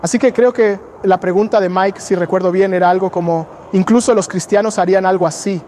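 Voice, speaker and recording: A man with a high voice hosts a casual street chat, welcoming visitors and bridging the conversation between them. Faint urban noise and vehicles sit behind him. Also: fairly even intonation, a little fast, mellow. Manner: welcoming, mediating, restarts phrases. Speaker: local host introducing guests.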